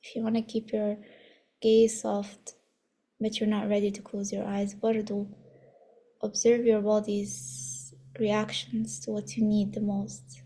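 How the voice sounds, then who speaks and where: Slow, creaky-voiced woman guiding meditation. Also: medium pitch, monotone. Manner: soothing, unhurried, gently instructive. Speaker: meditation guide leading a body scan. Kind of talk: guided meditation with breathing practice.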